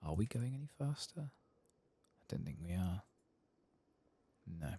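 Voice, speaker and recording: A man giving soft-spoken, murmured ASMR commentary while playing a video game, with a husky, breathy voice. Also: on the low side, very animated. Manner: quiet, murmured, unhurried.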